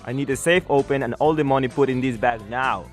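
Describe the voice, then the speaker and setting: Man as armed robber, mid-pitched, cold, threatening, radio play with faint music. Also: steady tone, fast talker, natural voice. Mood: menacing. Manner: threatening, barking orders.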